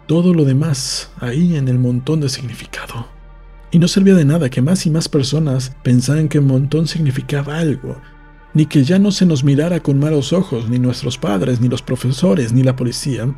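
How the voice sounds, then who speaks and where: Husky, mellow male book narrator. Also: medium pitch. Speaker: audiobook narrator. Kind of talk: scripted literary narration, novel read aloud.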